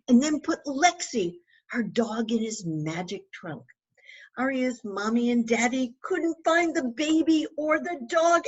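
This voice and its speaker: Female children's storyteller, husky tone, very animated intonation, unhurried delivery with dramatic emphasis. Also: medium pitch, dull audio. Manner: dramatic emphasis with asides to young listeners. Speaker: children's author reading aloud.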